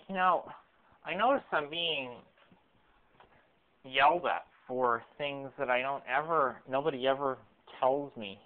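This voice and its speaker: A man in his late twenties giving a rambling, personal monologue, halting and often rephrasing himself, recorded on a cell phone. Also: mid-range voice. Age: late 20s. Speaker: video blogger. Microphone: cell phone camera microphone. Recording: weak, muffled lo-fi cell phone audio.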